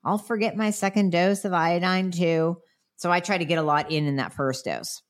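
Frank, chatty health practitioner, a middle-aged woman with a lowish voice, nasal from a cold, answering viewer questions. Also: steady tone, moderate pace. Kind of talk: casual Q&A talk.

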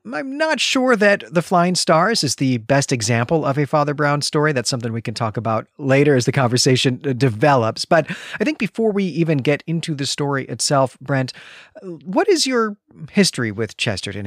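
A man's voice: a little high, sing-song, a little fast, no room sound, clean studio sound. A man co-hosting a literary podcast in a chatty, explanatory, digressive conversational way. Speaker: podcast co-host.

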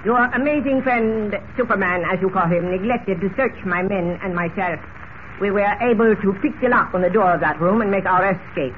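A man playing a menacing Egyptian gunman in an old radio serial, with a formal, deliberate, commanding way of speaking. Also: sits high, close to an everyday voice. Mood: coldly formal. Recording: muffled old radio recording with hiss.

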